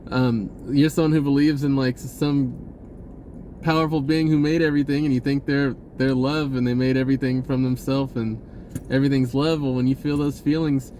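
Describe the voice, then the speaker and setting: A man speaks off the cuff in a mid-pitched voice, with clear ambient noise behind him. He rambles casually, as in a spiritual vlog. His delivery is halting and informal, often breaking off and starting over. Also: fairly even intonation, warm tone. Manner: halting, self-interrupting, informal. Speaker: spirituality vlogger.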